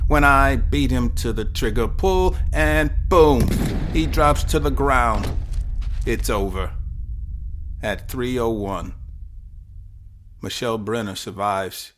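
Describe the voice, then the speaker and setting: Give a slow, deliberate dramatic monologue as a brooding teenage boy who can see the future acted by a grown man. The pitch sits at an ordinary male level, and the phrases come haltingly, with weighted pauses. He is intense and troubled, telling his own story in a podcast drama. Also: a little hiss or hum. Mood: urgent, intense. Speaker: writer performing own short story. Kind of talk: dramatic first-person monologue, flash fiction podcast.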